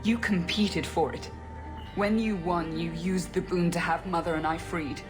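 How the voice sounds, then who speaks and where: Woman doing a cornered young elf sister, lowish voice, score playing under. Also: fairly even intonation, measured pace, music in the background, lightly acted. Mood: resentful. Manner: pleading, defensive.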